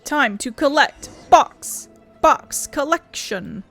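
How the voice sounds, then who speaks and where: Female let's-play host: casual, talkative, thinks aloud, fairly high voice over faint music. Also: animated, slow, pushed and bright. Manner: chatty, thinking aloud, swearing. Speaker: gaming video host. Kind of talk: casual game commentary.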